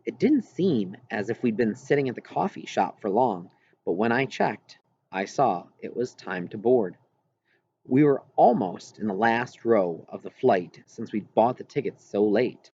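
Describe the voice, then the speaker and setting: A man's voice: on the low side, animated, a little slow, mellow. A man reading a first-person story aloud as scripted audiobook narration. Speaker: audiobook reader. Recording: muffled, clearly noisy home recording.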